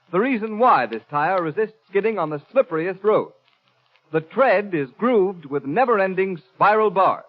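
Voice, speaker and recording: A man announcing on 1930s radio, reading a script in an emphatic, declamatory style. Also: high-pitched. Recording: muffled old 1930s radio broadcast.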